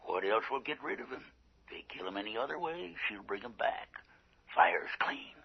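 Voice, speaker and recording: An actor playing a grim, conspiratorial arsonist, hushed and raspy over a telephone line in a radio drama. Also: male voice, on the low side, big pitch swings, moderate pace, exaggerated voice. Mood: cold, secretive. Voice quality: gravelly, breathy rasp. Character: grim male arsonist plotting a killing. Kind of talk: radio horror drama acting. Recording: muffled radio broadcast, overheard phone line.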